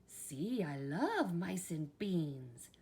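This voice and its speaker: Excitable kitten boy as swashbuckling Chihuahua, acted by a woman: boastful, playful, mock Spanish accent, swooping pitch. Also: very slow, heavily acted. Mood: bold. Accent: put-on Spanish. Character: excitable little boy kitten playing a bold Chihuahua hero.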